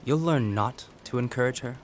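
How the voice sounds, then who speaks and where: An actor playing a sarcastic, grudging first mate of a sailing ship with a dry, deadpan delivery in a period audio drama. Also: male voice, medium pitch, moderate pace, a little hiss or hum, close to an everyday voice. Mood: dry, sardonic.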